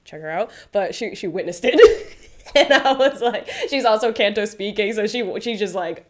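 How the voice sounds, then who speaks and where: A woman's voice: mid-range voice, moderate pace. A woman guest on a podcast, an author, telling self-deprecating stories, laughing often and filling her talk with "like". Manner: animated, laughs often, many "like" fillers; self-deprecating anecdotes with acted-out quotes. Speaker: guest, children's book author. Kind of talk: casual interview storytelling.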